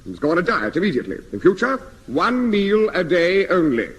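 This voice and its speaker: An actor playing a blunt, exasperated male doctor in a vintage radio sitcom, brisk and matter-of-fact. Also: high voice, very animated, a bit bright. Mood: brisk, bossy. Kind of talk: 1950s British radio sitcom acting. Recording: noisy old radio recording, reverberant hall.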